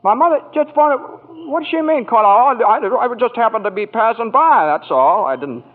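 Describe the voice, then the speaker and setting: A man's voice: very high-pitched, expressive, brisk. An actor playing a frustrated, idealistic young small-town man in 1940s radio drama, with hesitant, stammering, folksy delivery. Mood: awkward, defensive. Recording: tinny, band-limited vintage radio broadcast.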